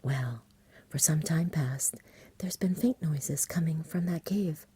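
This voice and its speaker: A woman voicing a frightened, fretful shepherd father from a storybook, in an agitated, rambling country dialect and a breathy whisper. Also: deep voice, takes it slowly, mellow, no echo, exaggerated voice. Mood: nervous.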